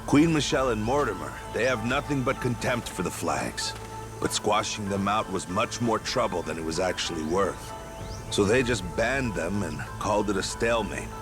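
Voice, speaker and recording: Guy doing worried sailor in a video game, lowish voice, explains things emphatically, music underneath. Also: expressive, moderate pace, lightly acted. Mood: wary. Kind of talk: dramatic game cutscene acting.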